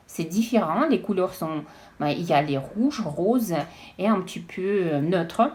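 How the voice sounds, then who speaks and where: A woman chatting casually as she unboxes beauty products, hesitant and halting with frequent restarts. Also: fairly low pitch, expressive, darkish tone, a little hiss or hum. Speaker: beauty vlogger.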